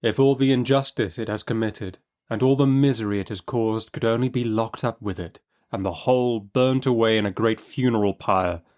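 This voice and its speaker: A man's voice: lowish voice, darkish tone. A man giving a dramatic, literary audiobook narration. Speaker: narrator and host.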